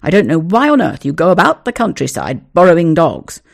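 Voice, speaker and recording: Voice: mid-range voice, sing-song, normal speed, vocal fry. A reader voicing a pompous, stern middle-aged father who lectures his son with dry sarcasm. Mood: stern lecturing.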